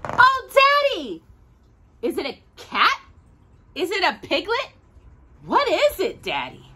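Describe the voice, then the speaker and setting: A woman voicing an excitable, curious little girl in a children's storybook read aloud. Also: very high-pitched, slow, slight background noise, pitched above the performer's own voice, full character voice. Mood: excited, curious.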